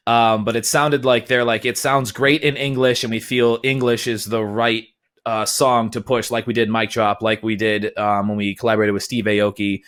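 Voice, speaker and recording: A man's voice: medium pitch, fairly bright tone, smooth. A man speaking as a talk show panelist, giving a casual recap in loose, conversational phrasing. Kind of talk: casual spoken recap of news.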